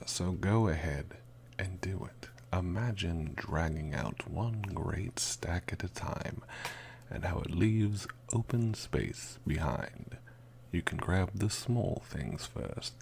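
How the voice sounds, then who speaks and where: A man playing a mysterious, courtly carnival mesmer in an ASMR roleplay, speaking softly with formal, old-fashioned theatrical phrasing and breathy, creaky moments. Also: low-pitched, a little hiss or hum, exaggerated voice. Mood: soothing, hypnotic. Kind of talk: ASMR roleplay, guided sleep meditation.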